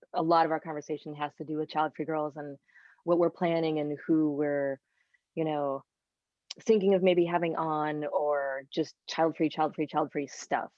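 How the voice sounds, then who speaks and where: A woman co-hosting a podcast in casual, conversational panel chat, recorded with roomy, slightly muffled sound. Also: low voice. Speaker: podcast co-host. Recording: roomy, slightly dull lo-fi audio.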